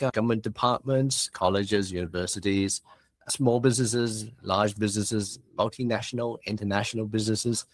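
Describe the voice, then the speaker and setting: Low-voiced man with a mixed Australian-British-Cantonese accent. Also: dry recording. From Hong Kong. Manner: chatty, expansive, friendly. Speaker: guest, business founder. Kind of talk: casual interview chat.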